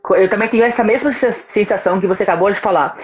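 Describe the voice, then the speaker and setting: A man's voice: high-pitched, racing. A man from Brazil whose Brazilian accent has a slight Spanish tinge after years abroad speaks for a language school in a live interview. He is agreeable and often hesitates to restart his phrases. There is faint noise behind his video-call audio. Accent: Brazilian Portuguese with a slight Spanish tinge. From Brazil, Espírito Santo. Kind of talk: casual live-stream interview.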